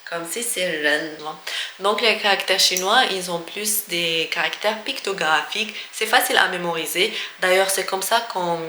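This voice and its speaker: Casting: young Algerian-accented woman, casual teaching vlogger, lowish bright voice, slips Arabic into her talk, roomy sound. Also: moderate pace. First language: Arabic and French. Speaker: vlogger giving language tips. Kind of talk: casual educational talk to camera. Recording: echoey room with faint noise.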